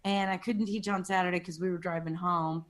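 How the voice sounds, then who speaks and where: A woman with a deep, raspy voice, a quilting teacher chatting casually as a guest. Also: steady tone, brisk. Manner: chatty, tells anecdotes with quoted asides. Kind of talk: casual chat.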